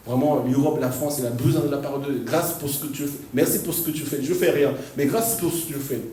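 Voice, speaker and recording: Male pastor, stop-start delivery with frequent laughs, mellow voice; distant mic in an echoey church hall. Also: fairly high pitch, fairly even intonation, a little hiss or hum. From Argentina. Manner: halting, repeats words, laughs often. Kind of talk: sermon.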